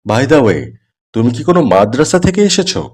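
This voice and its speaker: A disapproving college teacher from a moral-tale cartoon, acted by a man. He lectures condescendingly at a medium pitch, with lively rises and falls. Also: brisk.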